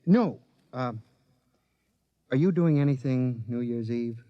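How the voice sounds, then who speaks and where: An actor playing an anxious, lovelorn young lawyer in a 1950s radio drama, wry and fretful. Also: male voice, mid-range voice, slow, no echo, muffled recording, close to an everyday voice. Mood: hurt.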